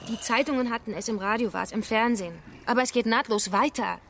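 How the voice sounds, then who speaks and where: An actress playing a defiant young human-rights activist in a radio drama, combative, sardonic and impassioned. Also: female voice, a little high, quick pace, a bit bright, a little hiss or hum. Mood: indignant. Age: young adult. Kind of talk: naturalistic German radio play dialogue.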